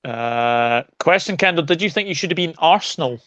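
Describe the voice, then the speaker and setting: A man hosting a casual football chat, relaxed and reading out viewer comments. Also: a little high, moderate pace, no room sound. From Scotland. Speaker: host. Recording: dry, dull lo-fi mic.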